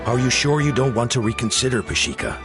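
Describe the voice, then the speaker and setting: A man playing a gruff but fair boss among warriors in a video game. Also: medium pitch, lively intonation, fast talker, over music, natural voice. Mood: persuasive.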